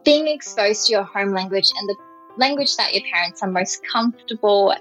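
A woman's voice: medium pitch, lively intonation, moderate pace, a bit bright, faint music behind. A woman answering as an interviewed expert, explaining the issue in a thoughtful way. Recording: dull, slightly lo-fi audio.

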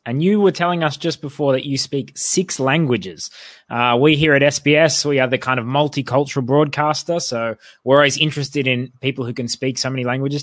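A male co-host asks his question in a friendly, direct way, with a mid-pitched voice. The sound is dry and close, with no room around it. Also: no echo. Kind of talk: broadcast interview question.

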